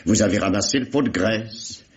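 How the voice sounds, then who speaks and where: A man's voice: fairly low pitch, normal speed, lightly acted. An actor playing a wry, insistent investigator in a vintage radio mystery, sardonic and falsely fatherly as he questions a suspect. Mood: insistent, coaxing. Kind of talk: 1950s French radio mystery acting. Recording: dull lo-fi old radio recording.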